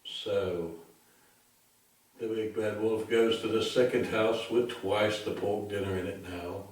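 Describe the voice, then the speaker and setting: A man telling a darkly comic bedtime story in a deliberate, theatrical, mock-sympathetic way. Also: lowish voice, steady tone. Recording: very echoey room, distant microphone.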